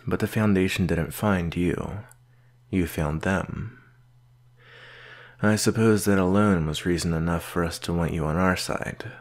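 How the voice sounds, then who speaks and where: A man playing a stern, solitary research doctor in an audio roleplay, speaking in a measured, dry, curt manner with a gravelly voice. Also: low-pitched. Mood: patient, measured. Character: gruff male researcher doctor, solitary, stern but patient mentor. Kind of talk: slow audio roleplay acting addressed to the listener.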